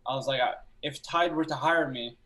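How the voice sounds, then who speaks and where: A young man who grew up speaking Albanian, a filmmaker talking about his work as a guest on a video call, telling stories in a relaxed way with pauses to think. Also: mid-range voice, rough recording. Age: young adult. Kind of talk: casual interview chat. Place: small room at home.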